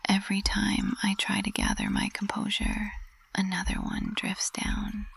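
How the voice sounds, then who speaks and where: A woman performs a flustered witch professor whose cool composure is melting into love. Her whisper is very breathy and husky, with a heavy crackle of fry at the edges. She speaks slowly and haltingly, in the tender, intimate manner of an ASMR roleplay. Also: mid-range voice, huge pitch swings, fairly bright tone, heavily acted. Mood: embarrassed, unraveled.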